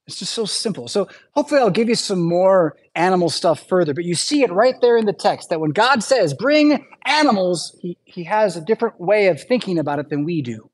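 A male pastor giving a conversational sermon in a church, often checking in with "right?" and adding quick asides. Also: high-pitched, expressive.

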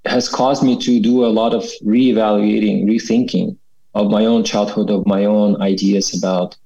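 A man's voice: lowish voice, flat delivery, moderate pace, creaky, warm tone. A man in his forties from Kazakhstan, giving a reflective personal account as a guest and often checking in with "right?". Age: 40s. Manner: thoughtful, often ends with "right?". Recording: dull lo-fi sound.

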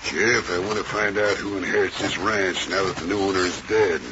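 An actor playing a brusque, scheming land buyer in an old radio western. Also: male voice, low-pitched, steady tone, brisk, natural voice. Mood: brusque, smooth-talking. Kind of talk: 1930s-40s radio western acting. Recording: very noisy, dull old radio recording.

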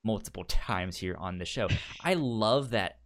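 A man hosting a casual book discussion show, talking informally and haltingly with a stutter that repeats sounds and syllables. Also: lowish voice, animated, a bit bright. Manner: halting, informal, thinking aloud. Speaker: podcast host.

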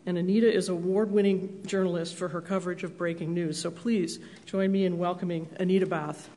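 A woman's voice: fairly low pitch, fairly even intonation, brisk, mellow. Woman formally introducing in a reverberant hall. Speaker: event introducer. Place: large indoor auditorium stage. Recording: echoey hall with long reverb.

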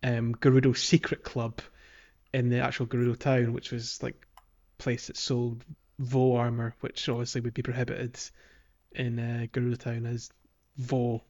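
A man co-hosting a casual gaming podcast, chatting in a relaxed way with frequent ums and small laughs. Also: medium pitch, normal speed. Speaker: podcast co-host. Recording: muffled lo-fi podcast audio.

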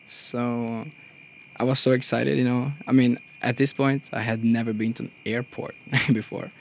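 Easygoing young man with a gravelly voice. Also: mid-range voice, level tone, normal speed, a little hiss or hum. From Brazil. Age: 20s. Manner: easygoing, laughs often, many fillers like "you know". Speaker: guest. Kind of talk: casual podcast chat. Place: radio studio.

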